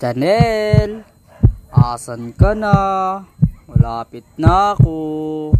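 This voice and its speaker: A man's voice: on the high side, expressive, very slow, noisy background. A young man playing a vengeful, merciless killer who taunts and threatens, in an amateur student radio drama. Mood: menacing, taunting. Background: dramatic background music.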